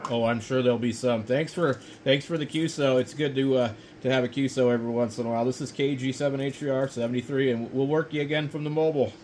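An American man makes relaxed small talk as a ham radio operator. His voice sits at a middling pitch, recorded indoors at his radio desk with a faint hiss behind it. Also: quick pace, hardly any pauses. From United States. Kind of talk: casual ham radio chat.

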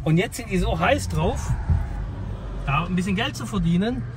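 Man, 30s, casual vlogger, higher register, enthusiastic, phone mic with clear outdoor noise. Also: expressive, normal speed. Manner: chatty, enthusiastic, addresses viewers directly. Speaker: classic car dealer. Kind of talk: casual vlog commentary. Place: outdoors in a junkyard and inside a car.

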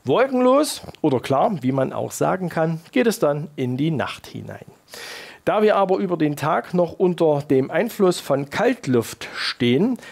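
Higher-voiced man presenting regional TV weather. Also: vocal fry, a little mellow, breathy edge. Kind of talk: scripted news and weather presentation. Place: TV studio.

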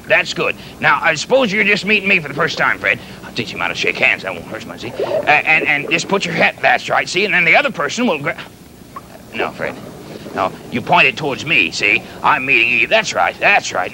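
A man playing a kindly, folksy pirate captain in a children's show, halting and stammering as he rambles and repeats himself. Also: fairly high pitch, very animated, sharp tone, slight background noise, full character voice, low-quality recording. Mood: patiently coaching. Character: folksy, flustered, kindly pirate captain fond of his clumsy pet.

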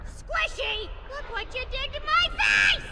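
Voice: very high-pitched, huge pitch swings, a little slow, heavy background noise, heavily acted. A performer voicing a vain, vindictive little cartoon mascot in a horror game, whiny and bratty. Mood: whiny outrage. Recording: echoey, distant, loud music behind.

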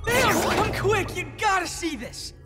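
A woman voicing a sweet, excitable young monster truck in a children's cartoon, eager and gushing. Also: very high-pitched, a little slow. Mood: excited. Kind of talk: animated children's cartoon acting. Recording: loud music behind the voice.

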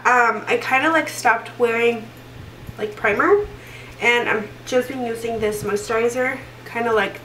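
A woman's voice: fairly high pitch, fairly bright tone, recorded from a distance, a little hiss or hum. A young woman in her twenties, stuffy from a cold, chatting through a makeup tutorial with lots of fillers and restarts. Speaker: beauty vlogger. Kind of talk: casual makeup tutorial chat. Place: small room indoors. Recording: roomy echo, voice a bit off-mic.

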